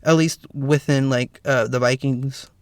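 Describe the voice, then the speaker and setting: Raspy-voiced man hosting a podcast. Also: mid-range voice, steady tone, measured pace, no room sound, clean studio sound. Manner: earnest, frequent "you know" fillers and restarts. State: slightly hoarse, clearing throat. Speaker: podcast host. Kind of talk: solo podcast commentary, partly read from notes.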